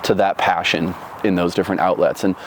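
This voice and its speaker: A man's voice: low-pitched, little pitch movement, a little fast, a little hiss or hum. A man from Florida in his thirties, telling his life story in an oral history interview, reflective and rambling with many fillers and restarts.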